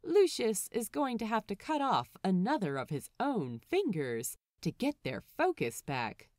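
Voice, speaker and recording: A woman narrating a written story aloud in an animated, expressive storytelling style. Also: mid-range voice, unhurried, no room sound, studio quality. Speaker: podfic narrator.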